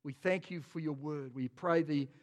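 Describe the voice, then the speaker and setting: A man's voice: a little high, moderate pace. A man preaching a sermon as pastor, rousing and calling out questions to his congregation. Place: large church hall. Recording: long hall reverb.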